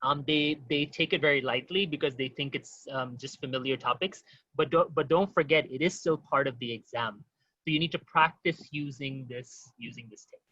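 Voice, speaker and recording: A man teaching an online exam-prep webinar, talking quickly and informally to his audience with many fillers. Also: on the high side, bright, forceful tone, no room sound, highs cut off.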